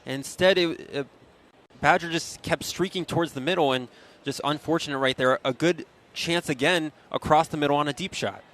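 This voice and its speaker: A man's voice: fairly high pitch, normal speed, fairly bright tone. A man giving live football analysis as a color commentator, conversational and opinionated as he explains the plays.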